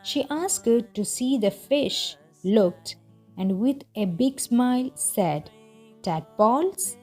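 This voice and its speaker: Measured woman reading a story aloud. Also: fairly high pitch, expressive, mellow, soft background music. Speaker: narrator of English learning read-aloud lessons. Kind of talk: scripted storybook read-aloud for language learners.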